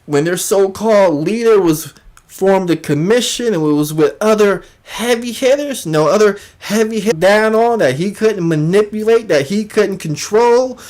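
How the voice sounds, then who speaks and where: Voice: mid-range voice, lively intonation, moderate pace, a little mellow. A livestream host giving casual commentary in an emphatic way, often repeating phrases for emphasis.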